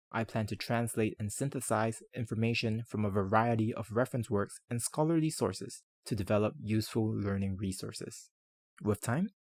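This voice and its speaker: A man who speaks Chinese and English natively, narrating a scripted educational video in a measured, articulate, formal way. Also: lowish voice, vocal fry, a little mellow, husky, no room sound. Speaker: language-learning video creator.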